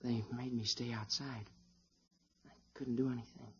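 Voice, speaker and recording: An actor playing a gentle, shyly proud young husband with a hushed, husky voice, in 1950s radio drama. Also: male voice, medium pitch, flat delivery, normal speed. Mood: hushed, tender. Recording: dull old radio recording.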